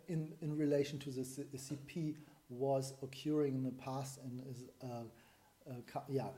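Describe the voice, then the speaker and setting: A man's voice: medium pitch, warm tone. A man in his forties with a German accent, a breathing coach explaining a method to camera in a small room, thinking aloud with restarts and fillers. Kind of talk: explanatory video lesson to camera. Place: small indoor room at home.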